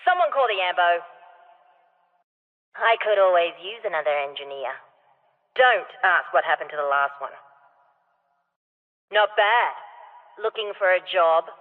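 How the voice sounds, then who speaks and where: An actor playing a brash, sardonic junkyard arena ruler in a video game, announcing with theatrical taunts through an arena reverb. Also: male voice, high-pitched. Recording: muffled lo-fi sound, long hall reverb.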